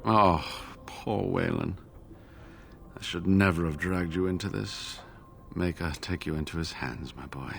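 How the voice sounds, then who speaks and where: An actor playing a weary, earnest scholar in a fantasy video game, speaking in a measured, formal, rueful way. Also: male voice, low voice, creaky, a little hiss or hum. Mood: mournful.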